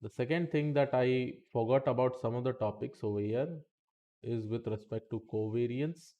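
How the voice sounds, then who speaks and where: A man's voice: medium pitch, steady tone, normal speed, mellow, lo-fi sound. A man teaching statistics in a live-streamed lesson, chatty and talking to the chat between points, saying okay often.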